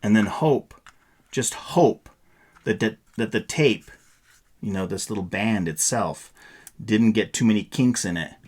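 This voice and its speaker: A man's voice: on the low side, measured pace, darkish tone. A middle-aged man giving a casual, playful, rambling explanation in a show-and-tell vlog style. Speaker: vlogger.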